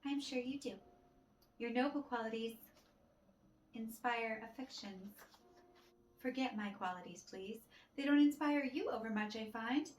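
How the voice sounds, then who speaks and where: A high-voiced young heroine of a classic comedy, acted out by a woman reader, haughty, hurt and stubborn. The verse is dramatic, and the room is echoey. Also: unhurried, long pauses, slight background noise, close to an everyday voice. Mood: cool sarcasm. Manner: dramatic, formal rhyming verse. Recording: roomy echo with music behind.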